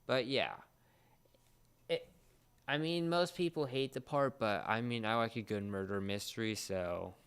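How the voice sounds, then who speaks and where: Clear-voiced man chatting and explaining, halting delivery. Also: mid-range voice, fairly bright tone. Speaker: podcast co-host explaining a series. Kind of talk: casual chat, explainer.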